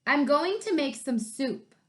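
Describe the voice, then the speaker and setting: Woman playing a scruffy storybook varmint, short-tempered, snarling, bossy, raised pitch, slow delivery. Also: animated. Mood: sarcastic, unkind. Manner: snarling, growling, barking orders.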